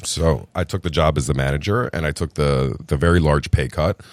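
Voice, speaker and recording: A middle-aged man from New Jersey, a restaurant founder telling his story in a relaxed, chatty interview and calling the host "brother". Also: very deep voice, fairly quick, dry recording, studio quality. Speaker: restaurant group founder, podcast guest.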